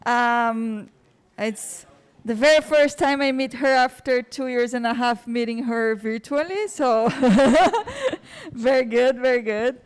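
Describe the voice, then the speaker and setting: A woman's voice: fairly high pitch, animated, takes it slowly, clean tone. Chatty woman introducing a guest speaker. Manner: warm, chatty, laughs between remarks. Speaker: course host introducing a speaker. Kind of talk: casual spoken introduction. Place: lecture room.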